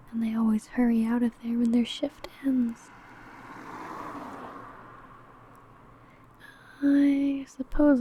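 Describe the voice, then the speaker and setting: A woman playing an obsessive, predatory female vampire who poses as a sweet coworker, in a soft, breathy ASMR roleplay. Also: a little high, a little hiss or hum, close to an everyday voice. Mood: scheming. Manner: soft, breathy, whispery murmuring.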